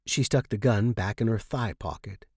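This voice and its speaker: A man's voice: lowish voice, normal speed, darkish tone, breathy edge, no room sound, clean studio sound. A man narrating first-person fiction from a script as an audiobook reader.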